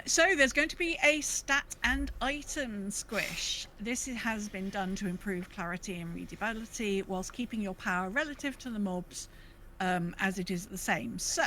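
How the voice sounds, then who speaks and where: A woman's voice: on the high side, bright, forceful tone, slight background noise, low-quality recording. A woman co-hosting a podcast, talking casually through prepared notes. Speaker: podcast co-host.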